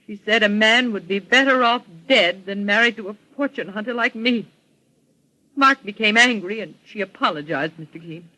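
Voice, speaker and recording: A woman's voice: mid-range voice, normal speed, bright, forceful tone, poor audio. An actress playing an anxious, genteel and aggrieved woman in a 1940s radio melodrama, earnest and dramatic.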